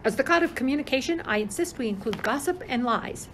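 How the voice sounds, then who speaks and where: A woman's voice: high-pitched, expressive, fast, a little hiss or hum. A woman playing a busy, self-assured male god in a radio play. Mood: declaring.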